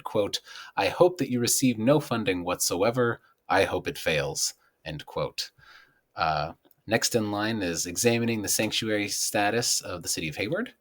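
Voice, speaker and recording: An American man co-hosting a local news show, mostly reading out scripted headlines in a conversational setting. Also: low-pitched.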